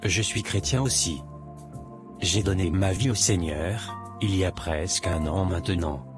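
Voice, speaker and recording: Earnest romantic lead for animation, male actor, early twenties, polite, notably deep voice. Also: unhurried. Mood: friendly, flirting. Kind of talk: animated drama dialogue. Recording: music behind the voice.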